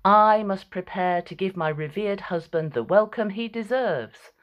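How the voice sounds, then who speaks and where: A woman's voice: medium pitch, animated, a little slow, dull audio, pitched above the performer's own voice, close to an everyday voice. A woman voicing Clytemnestra, a tall, proud, vengeful ancient queen, in a clipped, tense manner for a storybook reading. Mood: resolute.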